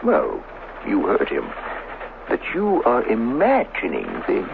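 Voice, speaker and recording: An actor playing a tactful, probing district police chief in a radio drama, hesitant and diplomatic. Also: male voice, on the low side, wildly swooping pitch, takes it slowly, creaky, full character voice. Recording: muffled, hissy old radio recording.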